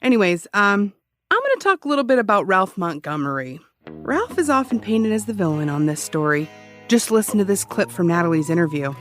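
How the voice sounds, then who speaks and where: A woman's voice: mid-range voice, very animated, brisk, close to an everyday voice. A woman playing an obsessive, defensive ex-cop who hosts a true-crime podcast, telling it conversationally with wry emphasis. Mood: wry, intent. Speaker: true-crime podcast host, former police officer. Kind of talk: conversational scripted narration in an audio drama. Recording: music behind the voice. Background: music.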